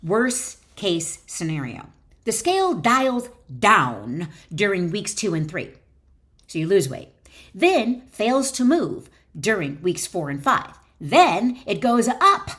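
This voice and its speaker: A woman speaking as an author and wellness teacher, addressing the listener directly in emphatic, deliberate phrases as she instructs and reads from her book. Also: fairly high pitch. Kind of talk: instructional talk mixed with expressive reading aloud from own book.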